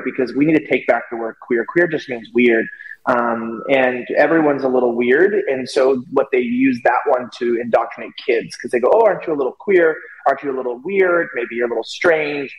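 A man with a mid-pitched voice talks as an opinionated guest commentator. He rambles through long casual explanations. The sound is echoey, with a long reverb around his voice. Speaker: guest commentator on a talk show.

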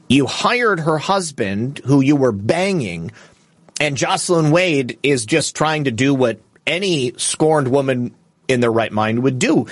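A man's voice: fairly high pitch, dry recording. A man hosting a political livestream, giving opinionated news commentary with casual asides in a scornful, indignant, crudely humorous manner.

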